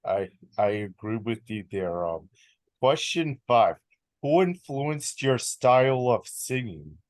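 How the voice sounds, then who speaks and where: Young male interviewer, slow and hesitant, dry close recording. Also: medium pitch, sing-song, a little mellow, no room sound. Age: young adult. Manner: hesitant, many ums. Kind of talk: reading numbered interview questions.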